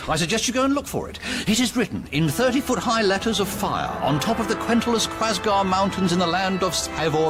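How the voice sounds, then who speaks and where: An actor playing a giddy, exhausted man who rambles and giggles in a radio comedy drama. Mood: earnest recital. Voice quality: high, giggly. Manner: rambling, breaks into wheezy laughter. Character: giddy, exhausted man helplessly laughing as he tells truths.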